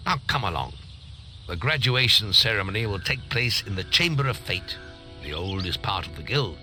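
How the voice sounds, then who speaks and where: Deep, solemn British guildmaster. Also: male voice, big pitch swings, normal speed, pushed and bright, exaggerated voice. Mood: solemn, fatherly. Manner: solemn, ceremonial. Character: grave, fatherly older male guildmaster. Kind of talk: fantasy video game acting. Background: music.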